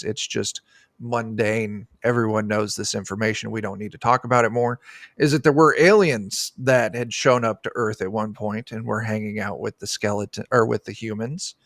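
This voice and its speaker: A man speaking as a game designer in a casual interview over a video call, pausing to search for words. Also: mid-range voice, no echo, studio quality.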